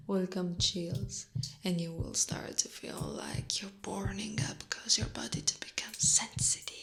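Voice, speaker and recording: A woman plays a giant female spider monster who treats her listener as a possession. She speaks very slowly and deliberately, slipping between soft voice and breathy whisper. The delivery is seductive and predatory, in an intimate ASMR roleplay style. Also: medium pitch. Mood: soothing menace. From Italy.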